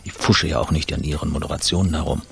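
A man's voice: a bass voice, fast, gravelly, fairly bright tone, slight background noise, exaggerated voice. A man playing a fussy, clinical perfectionist, speaking precisely and peevishly in a radio-play monologue. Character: fussy, clinical perfectionist obsessed with a woman's face.

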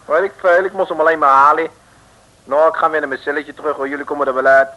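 An actor playing a chatty, slangy jailbird in a 1960s radio serial. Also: male voice, fairly high pitch, steady tone, fast, sharp tone. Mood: offhand. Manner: casual, slangy, colloquial. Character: chatty, rough-and-ready male jailbird. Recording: dull, faintly noisy old radio recording.

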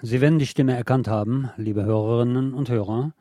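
A man's voice: mid-range voice, fairly quick, mellow, dry recording, clean studio sound. A man hosting a radio programme, reading scripted news commentary in a formal, pointed way. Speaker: radio host.

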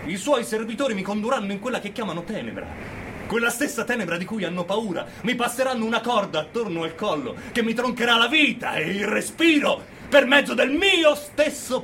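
Guy playing a bitter condemned prisoner in a radio play, high pitched voice, declaiming and sneering, noisy background. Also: natural voice. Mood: furious. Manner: declamatory, scornful, swinging from hushed narration to shouting. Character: defiant condemned male prisoner, bitter, mocking his jailers. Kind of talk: theatrical monologue, radio play.

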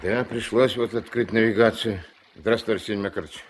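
Man acting a deep-voiced gruff old riverboat captain. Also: steady tone, brisk, a little hiss or hum, lightly acted. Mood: matter-of-fact. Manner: curt, commanding. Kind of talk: Soviet radio play acting.

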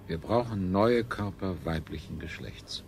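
An actor playing a smug, pleasure-seeking ruler of a space colony in a radio play, with a pompous, declamatory manner. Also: male voice, low voice, unhurried, creaky, a little mellow, a little hiss or hum. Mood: demanding. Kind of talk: 1970s German radio play acting. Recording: music behind the voice.

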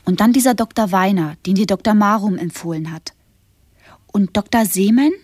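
Actress as breathy, anxiously questioning woman. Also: medium pitch, normal speed, darkish tone, no room sound, natural voice. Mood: anxious probing. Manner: probing, coaxing questions. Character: anxious, questioning female companion of an invalid. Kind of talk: radio play acting.

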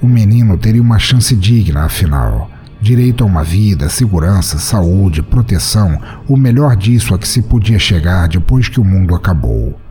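A Brazilian man hosting a podcast and narrating scripted fiction in an expressive, theatrical way. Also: sits low, normal speed, hardly any pauses. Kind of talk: dramatic scripted short-story narration.

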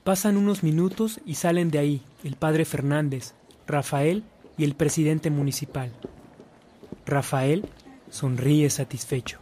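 A man's voice: on the high side, unhurried, mellow, a little hiss or hum, close to an everyday voice. A man acting as the storyteller in a Spanish-language radio drama, reading scripted narration. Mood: narrating.

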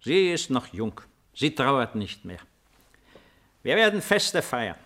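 A man's voice: mid-range voice, big pitch swings, slow, a bit bright, exaggerated voice. An older man playing an ailing, lonely old emperor in 1950s German radio drama, speaking in a stately, theatrical manner. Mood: commanding, elated.

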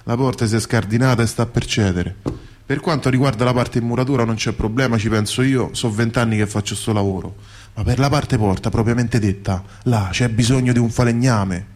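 A man's voice: medium pitch, brisk, a little mellow, close to an everyday voice. A humble bricklayer played by a male actor tells his dream in a radio play. He speaks in salty, colloquial phrases, repeating words with earthy wonder. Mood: gruff, puzzled. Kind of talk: theatrical radio monologue, colloquial storytelling.

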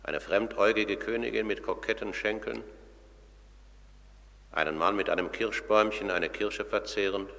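A solemn radio-play narrator. His voice is deep yet bright and forceful, declaiming each phrase deliberately, with a long hall reverb behind it. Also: vocal fry. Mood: declamatory. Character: solemn narrator of the trial of the dead. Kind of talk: dramatic scripted narration, German radio play.

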